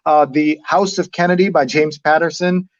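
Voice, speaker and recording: A man's voice: fairly high pitch, fairly even intonation, moderate pace, no echo, lo-fi sound. A man hosting a live read-along, chatting enthusiastically and hopping between asides as he reads out and comments. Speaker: host of a live newspaper read-along show.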